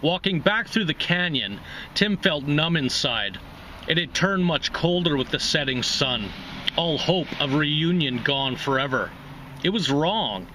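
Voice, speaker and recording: Bright, forceful man reading a story. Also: fairly high pitch, lively intonation, unhurried, a little hiss or hum, rough recording. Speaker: reading series host, story reader. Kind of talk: literary short story reading.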